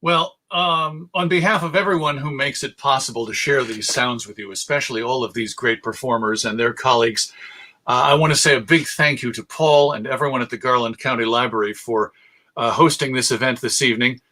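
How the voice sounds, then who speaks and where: An American man giving an informal online talk as a presenter, halting, courteous and drily humorous. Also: medium pitch, steady tone, pushed and bright. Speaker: presenter of a radio history talk. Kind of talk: informal lecture to an online audience.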